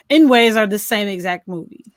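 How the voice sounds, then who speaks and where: A woman's voice: mid-range voice, lively intonation, unhurried, dry recording, studio quality. A woman co-hosting a casual film review chat, talking in an informal, slangy, conversational way. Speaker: podcast co-host.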